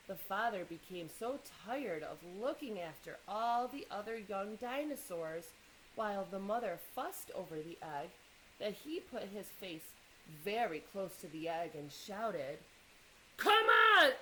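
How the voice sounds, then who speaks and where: A woman reading a children's picture book aloud in an expressive way, pausing to engage young listeners with questions and asides. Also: medium pitch, a little hiss or hum, rough recording.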